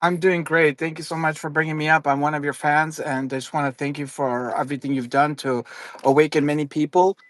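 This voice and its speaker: A man's voice: on the high side, little pitch movement, a bit bright, no room sound, clean studio sound. A man originally from Afghanistan calling in to a live audio chat, speaking earnestly and politely with some hesitation. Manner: earnest, polite, a little halting. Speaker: caller.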